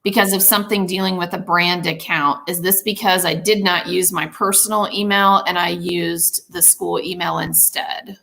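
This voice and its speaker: Gravelly, bright woman reading questions. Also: fairly low pitch, fairly even intonation, moderate pace, few pauses. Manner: reads questions aloud, hesitant fillers. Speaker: host relaying viewer questions. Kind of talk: live webinar moderation.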